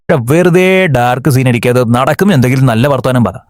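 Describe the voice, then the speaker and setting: A man's voice: mid-range voice, quick pace, darkish tone, no room sound, clean studio sound, natural voice. A young man from Kerala voicing a lazy, jobless cartoon slacker with cocky, sulky comebacks. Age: young adult.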